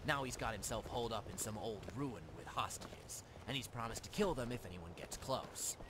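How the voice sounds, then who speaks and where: An actor playing a shady, businesslike thief boss in a video game, talking in a sly, deliberate, conspiratorial way. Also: male voice, medium pitch, lightly acted. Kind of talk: video game character dialogue. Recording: noisy ambience behind the voice.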